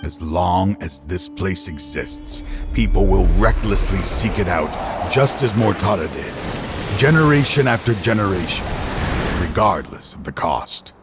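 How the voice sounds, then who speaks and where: Over clear background music, a weary middle-aged father with a very low voice. He is a grave, remorseful official in a game drama, resolute in his halting delivery. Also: animated. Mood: grave, anguished. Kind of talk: dramatic video game dialogue.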